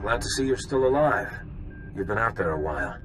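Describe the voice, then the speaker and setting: A man voicing a measured, dryly courteous bounty official in a video game. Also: lowish voice, animated, background noise, close to an everyday voice. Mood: calm, businesslike. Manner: measured, dry, formal. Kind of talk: dramatic video game dialogue.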